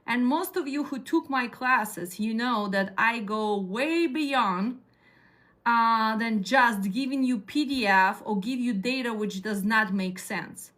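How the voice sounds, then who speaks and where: Woman, Ukrainian accent, middle-aged, direct, bright sharp voice, lecturing and quizzing a class. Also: on the high side, slow pace. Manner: direct, asks the class questions. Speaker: dispatch trainer.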